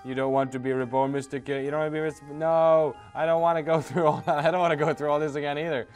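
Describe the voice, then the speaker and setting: A man's voice: fairly high pitch, fairly even intonation, fast talker, darkish tone. Irish man, sarcastic, reads game dialogue aloud, quips and swears, faint music behind. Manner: sarcastic, swears and jokes between read lines. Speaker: host playing a game. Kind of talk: reading a game's dialogue aloud with commentary.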